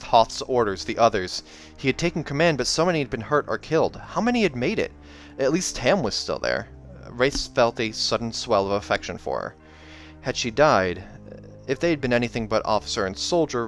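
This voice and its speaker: A man hosting a read-along, narrating a novella expressively with casual asides. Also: fairly low pitch. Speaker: host reading aloud and reacting. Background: video game soundtrack music.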